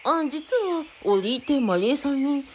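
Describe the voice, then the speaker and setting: A high, animated voice for a vain, excitable cult leader, played by a woman. He talks in theatrical, fawning phrases in a radio drama, with faint noise and birdsong behind. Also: moderate pace. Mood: confident, genial. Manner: theatrical, fawning, flustered politeness.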